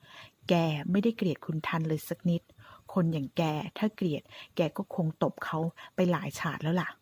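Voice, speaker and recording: A woman voicing an excitable young woman who teases her friend, playful and gushing. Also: low-pitched, moderate pace, breathy edge. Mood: earnest.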